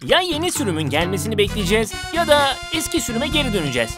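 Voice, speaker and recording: A brainy sensible toy vehicle in a kids cartoon, highish lively voice explaining stuff, loud music behind. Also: female voice, fast talker. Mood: concerned. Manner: explanatory, articulate. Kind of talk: children's cartoon dubbing.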